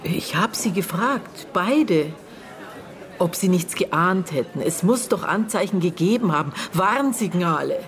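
A woman playing a reserved middle-aged sister who speaks in a measured, reflective way in a radio drama. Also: lowish voice, expressive. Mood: sombre. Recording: faint busy restaurant chatter behind. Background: busy restaurant.

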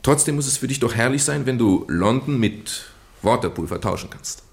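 A man acting in a German radio drama as a British gentleman nephew, earnestly and haltingly confessing a well-meant deception in genteel, roundabout phrases. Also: mid-range voice, expressive, vocal fry. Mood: earnest, confiding. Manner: hesitant, roundabout, genteel storytelling with stammered repeats.

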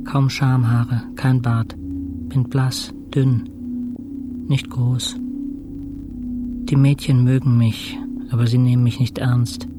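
An actor playing a bedridden, introspective intensive-care patient in a radio drama monologue, speaking in measured, fragmentary short phrases. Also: male voice, medium pitch, level tone, over loud music, close to an everyday voice. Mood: quiet, remembering.

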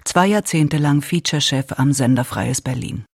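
A woman with a husky voice reads short scripted introductions. She sounds like a radio announcer. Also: extremely low pitch, normal speed, darkish tone.

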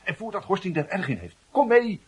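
An actor playing an eager, scheming schoolboy with a husky voice, urgent and conspiratorial, in a radio drama. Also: male voice, sits high, sing-song, fairly quick, a little mellow, exaggerated voice.

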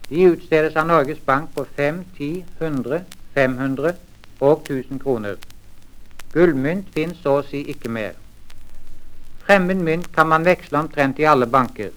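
Higher-pitched man, formal lesson reader. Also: fairly bright tone, low-quality recording. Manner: measured, carefully enunciated, formal. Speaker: language course reader. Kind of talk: formal scripted lesson reading, old-time language record. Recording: vintage 78rpm language record.

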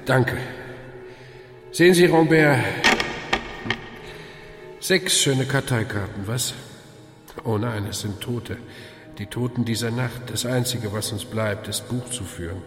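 An actor playing a tired, wry older hospital volunteer with a dry, sardonic manner in a German radio play. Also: male voice, medium pitch, takes it slowly, pauses often, lightly acted. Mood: wry, weary. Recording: echoey reverberant hall, music behind.

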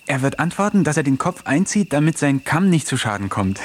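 Wry musing village oddball. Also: male voice, on the high side, big pitch swings, a little fast, slight background noise. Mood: amused. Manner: wry, musing first-person storytelling. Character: eccentric village man who talks to animals. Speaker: narrator and lead character. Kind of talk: German radio play narration.

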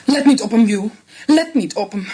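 A distraught pleading young wife. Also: female voice, lively intonation, moderate pace, dark tone, breathy edge. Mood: ashamed, pleading. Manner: high, pleading, near tears. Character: distraught young woman pleading for her drunk husband. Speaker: Els. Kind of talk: radio drama acting.

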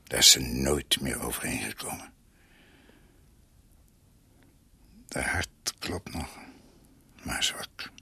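An actor playing a grave, devoted older doctor in a Dutch radio drama, with a husky voice. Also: male voice, rumbling low, a little slow, pushed and bright, in a whisper, full character voice. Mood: grave, hushed.

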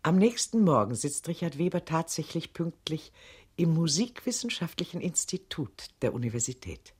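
Lady narrator in a radio play, really husky low voice, swoops around a lot. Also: big pitch swings, moderate pace, darkish tone, heavily acted. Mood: narrating.

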